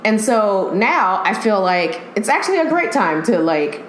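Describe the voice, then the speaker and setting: Lively woman guest in an echoey room. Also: medium pitch, unhurried, a little hiss or hum. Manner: animated, laughs often, acts out her own remarks. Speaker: guest, TV makeup artist. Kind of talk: casual chat.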